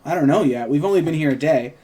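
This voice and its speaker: A man plays a self-assured woman reporter in a script read-through. The voice sits fairly high and keeps an everyday, probing manner, as if questioning someone who is holding back. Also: quick pace, warm tone, natural voice. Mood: matter-of-fact.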